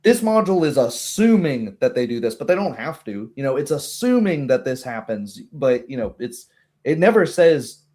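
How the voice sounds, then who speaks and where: A man's voice: mid-range voice, very animated, normal speed, mellow. Man chatting casually, rambling through retellings. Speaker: game master. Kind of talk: casual chat about a tabletop game session.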